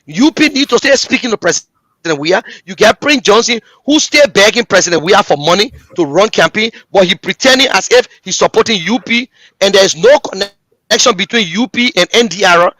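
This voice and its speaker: Impassioned Liberian man, high voice. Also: lively intonation, moderate pace, pushed and bright, no echo. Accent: Liberian English. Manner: impassioned, repetitive, rhetorical address to listeners. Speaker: talk show host. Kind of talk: political commentary.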